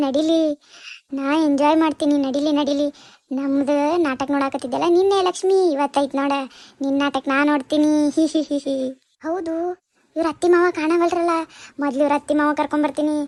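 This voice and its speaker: A woman plays a spiteful relative who gloats over family quarrels. The voice is high and quick with little melodic swing. She taunts smugly in a North Karnataka accent, in family drama style. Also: fairly even intonation, a little mellow, dry recording, lightly acted. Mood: smug taunting. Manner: taunting, smug.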